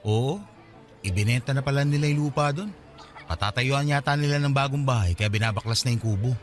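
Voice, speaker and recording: A man's voice: medium pitch, a little fast, faint music behind, close to an everyday voice. A man playing an easygoing, settled guy in his late twenties, talking with a friend in a radio drama. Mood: casual. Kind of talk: Tagalog radio drama dialogue.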